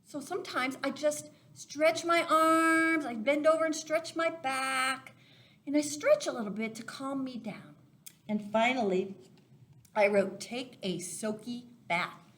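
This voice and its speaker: High-voiced, unhurried woman teaching children. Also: a bit bright. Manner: simple step-by-step explaining, addressing young children. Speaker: Sunday school storyteller. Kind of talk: children's lesson, craft demonstration.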